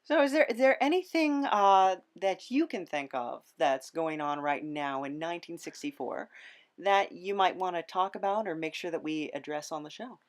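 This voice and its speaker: Lower-pitched woman, casual interviewer. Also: lively intonation, moderate pace. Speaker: podcast host, interviewer.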